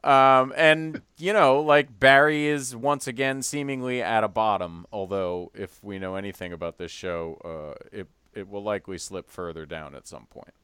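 A man with a smooth, resonant, mid-range voice co-hosting a podcast with wry, dry humor. He talks casually, often dropping quieter at the ends of thoughts. The recording is dry and close. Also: fairly low pitch, fairly even intonation, normal speed, hardly any pauses, dry recording. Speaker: podcast co-host. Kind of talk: casual chat.

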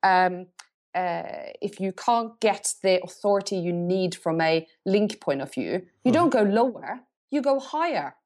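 A middle-aged woman speaking English, answering interview questions thoughtfully and often pausing to rephrase. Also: mid-range voice, lively intonation, darkish tone. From Norway. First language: Norwegian. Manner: thoughtful, pauses to search for words and rephrases. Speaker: agency CEO, guest.

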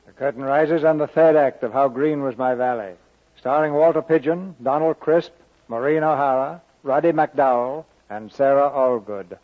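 A man's voice: medium pitch, normal speed. A man theatrically introducing a play in a declamatory 1940s radio announcement. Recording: thin, tinny old radio recording.

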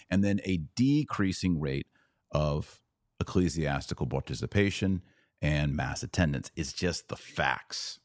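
A man's voice: sits low, a little mellow, no room sound, clean studio sound. A man hosting a podcast, giving opinionated commentary with emphatic, repeated phrases. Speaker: podcast host.

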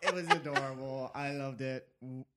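A man's voice: mid-range voice, completely flat delivery, normal speed, heavy background noise. A man on a podcast panel chatting casually, cheeky and playful. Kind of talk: casual group chat.

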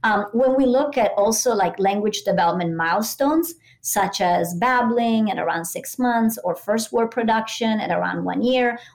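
A woman's voice: mid-range voice, normal speed, few pauses. A middle-aged woman, a native Italian speaker with an Italian accent, explaining things conversationally as an expert interview guest.

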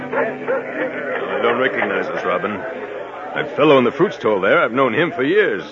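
An actor playing a hearty, loyal outlaw sidekick, emphatic and plain-spoken, in an old radio adventure. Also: male voice, medium pitch, lively intonation, measured pace, loud music behind the voice, natural voice. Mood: conspiratorial. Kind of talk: mid-century radio adventure acting. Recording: dull 1950s radio recording.

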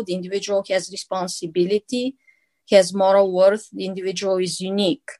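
A woman with a Bulgarian accent talks through her answers as an interviewed author. She is earnest and measured, pausing often on small fillers and restarting her sentences. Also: medium pitch, darkish tone, no echo. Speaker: author and guest.

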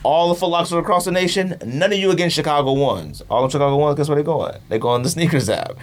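A man in casual podcast chat, talking in a slangy, animated way and acting out imagined quotes. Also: a little high, quick pace. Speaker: podcast host.

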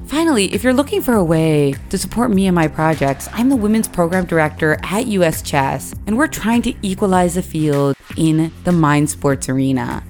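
A woman with a Philadelphia accent hosts a podcast, her voice sitting low in her range. She chats easily with her guest and wraps up the show in a friendly, practical way. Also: a little mellow. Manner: chatty, laughs easily. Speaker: podcast host. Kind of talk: podcast interview and outro.

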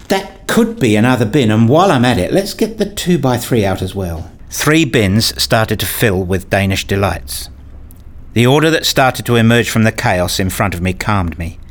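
Guy acting as a brooding hurt dad in a radio play, introspective, kinda deliberate, always justifying himself. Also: medium pitch. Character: Rob, brooding, wounded, self-justifying father. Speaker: narrator and lead actor in a radio play. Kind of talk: radio play narration and dialogue.

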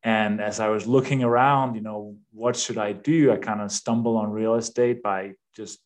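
A man's voice: fairly low pitch, fairly even intonation, moderate pace. A man in his fifties from Denmark, with a Danish accent, talking informally as a guest on a podcast. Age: 50s. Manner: conversational, informal. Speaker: podcast guest, real estate investor. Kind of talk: casual interview chat.

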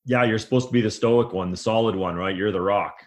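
A man's voice: on the low side, fast. A middle-aged man speaking as an interview guest, casual and colloquial, restarting his phrases as he goes. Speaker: guest, men's coach.

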